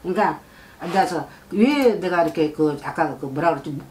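A woman's voice: on the low side, expressive, moderate pace, darkish tone, a little hiss or hum, poor audio. A middle-aged woman, a shaman fortune teller, giving a client blunt advice and scolding her, and she keeps asking whether the client has understood. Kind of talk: fortune-telling consultation. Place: shrine office indoors.

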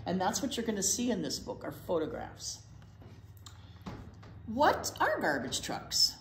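Older woman, storytime teacher, expressive read-aloud, lively melody, echoey room, faint noise. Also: medium pitch, a little slow. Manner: expressive, emphatic, speaks simply for small children. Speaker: teacher, children's storytime co-host. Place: indoor library storytime set, seated. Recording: roomy echo, faint background noise.

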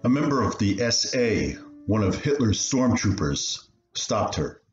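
A man playing an elderly refugee recounting his family's story in a radio play, deliberate and earnest. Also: fairly low pitch, very animated. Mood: reflective. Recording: roomy, dull sound, piano underneath.

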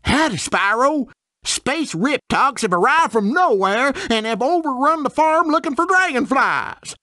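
A man's voice: very high-pitched, animated, a little slow, bright, forceful tone, dry recording, studio quality, exaggerated voice. A man voicing a simple, good-natured video game farmer with a folksy rural American accent. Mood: worried, pleading. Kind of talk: video game character dialogue.

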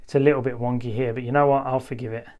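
A man's voice: medium pitch, little pitch movement, very fast, mellow, lo-fi sound. A man giving a casual, unscripted hands-on review commentary in an easygoing, chatty, conversational way. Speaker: toy reviewer.

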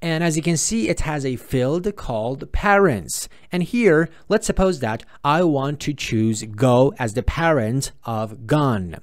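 A man presenting an unscripted software tutorial, explaining step by step, often repeating and correcting himself. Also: medium pitch, expressive, unhurried, few pauses.

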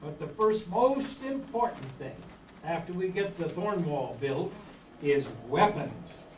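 An amateur actor playing a practical, take-charge leader of castaway children in a staged reading of an old radio adventure. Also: male voice, a little high, sing-song, takes it slowly, a little mellow. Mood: decisive. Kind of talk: 1930s children's radio adventure acting, read from script. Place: meeting room stage before seated audience. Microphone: far camera. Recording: roomy, distant camera mic, faint noise.

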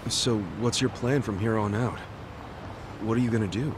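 An actor playing a loyal young former yakuza who talks in a lively, earnest way. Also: male voice, on the low side, normal speed, background noise, lightly acted. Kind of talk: video game cutscene dub.

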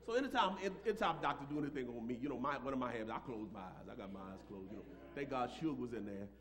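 A man's voice: medium pitch, lively intonation, fast, a bit bright. A middle-aged American man preaching as a pastor, telling personal stories in a folksy, emphatic way with repeated phrases. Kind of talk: animated sermon with personal storytelling. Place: church sanctuary, at a pulpit. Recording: live church room with audience reactions. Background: congregation reacting.

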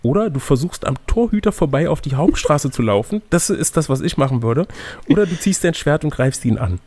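Male game master, gravelly and slightly rough, casual storytelling with teasing asides. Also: fairly high pitch, lively intonation, fairly quick, darkish tone. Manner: teasing, playful. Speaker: game master and reader of an adventure gamebook. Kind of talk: reading a gamebook aloud with casual banter.

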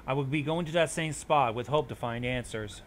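A man's voice: medium pitch, moderate pace, a little hiss or hum. A man hosting a reaction show, reading on-screen text aloud and adding commentary.

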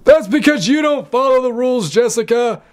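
A man's voice: extremely high pitch, animated, moderate pace. A young man playing an exasperated old grandpa, theatrical and admonishing, telling a bedtime story in a comedy sketch.

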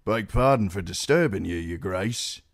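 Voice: low voice, lively intonation, moderate pace, no room sound, clean studio sound. A narrator voicing a deferential male castle guardsman in an audiobook, hesitant and apologetic.

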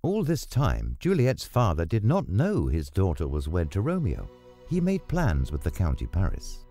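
A man narrating a scripted story with dramatic, deliberate enunciation. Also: sits low, huge pitch swings, mellow, dry recording, soft background music.

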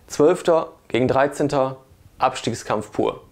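A man's voice: medium pitch, a little slow. A man around his late thirties or forties reading a scripted sports preview as a reporter in a studio.

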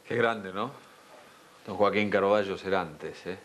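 A man's voice: sits low, a little slow, fairly bright tone. A man in his forties with an Argentine accent presenting a tribute in a television studio. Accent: Argentine Spanish. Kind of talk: spoken tribute to a broadcaster.

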